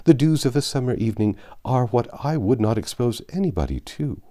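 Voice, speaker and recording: A man reading a storybook, voicing a gentle, fretful elderly father who fusses anxiously and plaintively. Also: mid-range voice, lively intonation, a little fast, pitched above the performer's own voice, natural voice. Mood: fretful, resigned. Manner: fussy, plaintive. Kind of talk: audiobook character voice.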